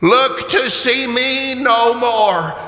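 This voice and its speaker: A man playing a tormented ghost of a dead miser's partner, wailing and declaiming slowly in a radio drama. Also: very high voice, pushed and bright, voice pushed up, heavily acted. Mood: solemn warning. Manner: slow, drawn-out, wailing declamation with eerie cackles. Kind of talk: radio theater acting. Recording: cavernous hall reverb.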